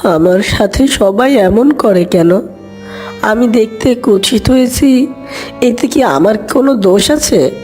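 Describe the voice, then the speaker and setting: A small rejected cartoon duckling, played by a woman, gentle and wounded. The voice is fairly high and set over music. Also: lively intonation, slow pace. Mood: sorrowful. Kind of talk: animated fairy-tale dialogue.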